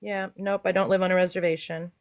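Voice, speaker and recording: A woman's voice: lowish voice, little pitch movement, quick pace, crowd noise. A woman caller in a heated call-in debate, cutting and sarcastic. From Texas.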